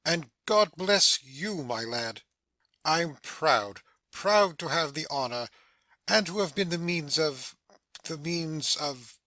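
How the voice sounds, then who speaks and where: A man performs an older, excitable uncle figure from a comic audio play, doting and effusive. The voice is bright and fairly high, in a dry recording, with fond stammers and repeated phrases. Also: slow pace, no echo, full character voice. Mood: effusive, gushing. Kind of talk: stage comedy audio play acting.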